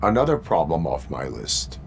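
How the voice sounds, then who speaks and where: An actor playing a stoic, unyielding cyborg policeman in a video game, with clipped, deadpan, robotic delivery. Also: a bass voice, moderate pace, creaky, slight background noise, exaggerated voice. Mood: stern deadpan. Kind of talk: video game cutscene acting.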